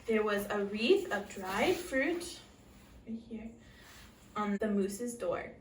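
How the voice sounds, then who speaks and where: A woman's voice: medium pitch, lively intonation, slow pace. A woman teaching preschool English, reading a storybook aloud expressively and prompting children to repeat. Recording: echoey room, distant mic, faint noise.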